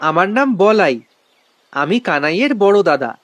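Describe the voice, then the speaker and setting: An actor playing a polite, sheepish schoolboy and big brother in a cartoon, earnest and respectful. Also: male voice, fairly high pitch, very animated, moderate pace, a bit bright, no room sound. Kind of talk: cartoon acting.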